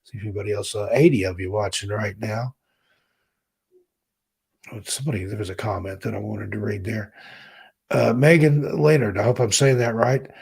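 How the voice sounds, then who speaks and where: Gravelly, hoarse man chatting with viewers. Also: fairly low pitch, a little mellow. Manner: rambling, unhurried, reads out viewer comments. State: hoarse, losing his voice. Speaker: livestream host. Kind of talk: casual chat with viewers.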